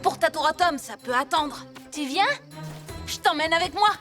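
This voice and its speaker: A woman's voice: sits high, moderate pace, lightly acted. A woman voicing a sensible, sceptical little girl superhero in a French cartoon dub, matter-of-fact and decisive. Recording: cartoon music behind the voice.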